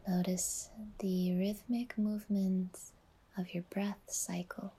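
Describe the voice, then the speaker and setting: A woman leads a guided meditation in a soft, breathy near-whisper. Her delivery is very slow and soothing, with long pauses. The pitch stays flat and even, settling gently from phrase to phrase. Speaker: yoga nidra guide and podcast host.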